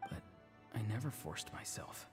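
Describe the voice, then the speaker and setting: A man's voice: a little slow, close to an everyday voice. An actor playing an earnest, melancholy young man in a video game who feels weak, speaking in a subdued, introspective way. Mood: wistful. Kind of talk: dramatic video game voice acting. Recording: reverberant game audio under clear music.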